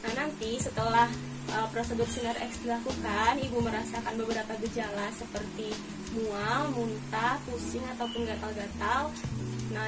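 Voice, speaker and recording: A young woman giving scripted, step-by-step procedural instruction. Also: a little high. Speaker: student demonstrating dental radiography procedure. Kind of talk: instructional narration and scripted patient explanation. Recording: loud music behind the voice. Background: loud background music.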